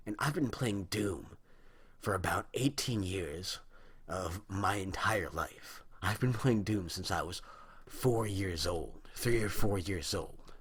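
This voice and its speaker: A man's voice: deep voice, takes it slowly, a bit bright, slightly rough. A young man in his early twenties, giving a rambling, indignant monologue as a video host, with a dry, strained throat. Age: young adult. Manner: rambling, indignant, frequent restarts. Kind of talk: opinion monologue on video games.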